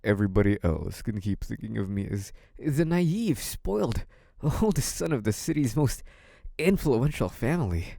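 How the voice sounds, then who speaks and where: Audio roleplay lead: male actor as young crime-family heir, sly and polite, lively pitch movement, very dry intimate sound. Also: creaky, no room sound, full character voice. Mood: smug, menacing. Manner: hesitant and apologetic act, turning smug and taunting. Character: young mafia heir, feigned naive politeness hiding cunning, possessive menace. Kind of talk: dramatic first-person audio roleplay.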